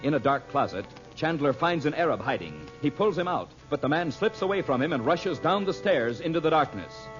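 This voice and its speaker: A man's voice: on the high side, lively intonation, a little fast, hardly any pauses. A man narrating the recap of an old radio serial with dramatic announcer delivery. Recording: dull old radio recording with music.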